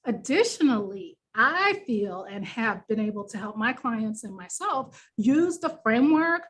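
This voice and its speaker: A woman with a New York accent coaches a workshop. Her voice sits fairly high and has a slightly husky edge. She explains things conversationally and encouragingly, stressing the words that matter. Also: sing-song, measured pace, a bit bright. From New York, United States. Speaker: workshop presenter, business coach.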